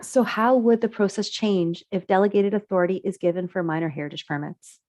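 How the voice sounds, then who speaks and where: A woman on municipal staff, getting over a cold and sounding raspy, presenting slides at a committee meeting in measured, prepared remarks. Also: mid-range voice, a little mellow, no room sound. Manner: measured, reads prepared remarks, clears throat.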